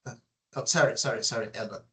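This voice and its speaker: A man with a Brazilian accent moderating an online professional panel discussion, speaking haltingly and repeating words as he goes. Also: mid-range voice, a bit bright, slight background noise. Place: online video call.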